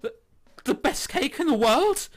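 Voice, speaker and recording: A man's voice: very high voice, lively intonation, measured pace, pitched above the performer's own voice. A man voicing a sceptical, bemused college girl in a visual novel, with a doubtful, matter-of-fact manner.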